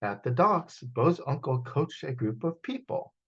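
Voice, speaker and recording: Expressive male storyteller, muffled lo-fi sound. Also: medium pitch, unhurried, breathy edge. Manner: expressive, acts out sound effects. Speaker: children's book author and storyteller. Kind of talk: animated picture book read-aloud.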